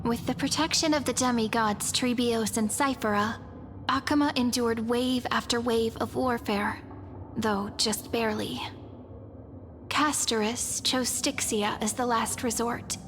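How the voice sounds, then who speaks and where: Woman as a composed, higher-voiced epic narrator. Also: lightly acted. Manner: composed, formal, storybook-like. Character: composed female chronicler narrating an epic legend. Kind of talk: scripted story narration for a game.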